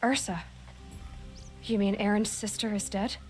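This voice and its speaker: A resolute young huntress. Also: female voice, medium pitch, fairly even intonation, a little slow, breathy edge, faint music behind. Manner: terse, resolute, commanding. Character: determined young woman hunter seeking answers. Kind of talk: video game cutscene acting.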